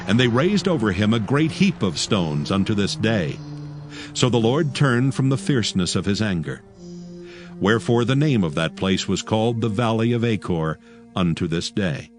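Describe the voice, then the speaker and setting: Low-voiced solemn male Bible narrator. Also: lively intonation, moderate pace. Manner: formal, solemn. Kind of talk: scripted dramatized Bible narration. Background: loud background music.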